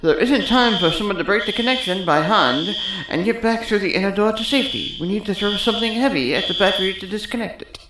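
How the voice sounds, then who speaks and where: A woman's voice: medium pitch, animated, fast talker, few pauses, roomy sound, noisy background, natural voice. A woman playing a cynical, vain and condescending electrical technician in a farcical audio comedy. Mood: tense, dry. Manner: sarcastic, condescending.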